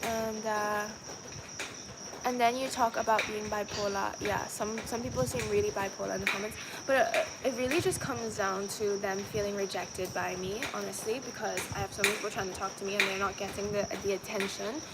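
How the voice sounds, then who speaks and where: A woman's voice: mid-range voice, little pitch movement, a little fast. A young woman with a Trinidadian accent, chatting casually to her live viewers with lots of fillers and restarts. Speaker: vlogger. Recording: loud background noise.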